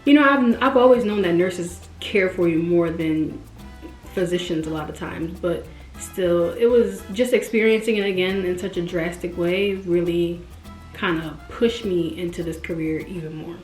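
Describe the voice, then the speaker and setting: Woman, twenties, casual vlog chat, expressive, roomy echo, faint background music. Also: normal speed. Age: 20s. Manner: chatty and expressive, jumps up in pitch for emphasis. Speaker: vlogger. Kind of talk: casual direct-to-camera chat.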